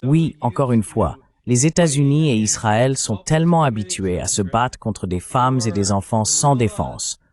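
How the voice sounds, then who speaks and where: A man's voice: mid-range voice, normal speed, hardly any pauses, a little mellow, natural voice. A man voicing a French translation of a political analyst's explanatory interview commentary.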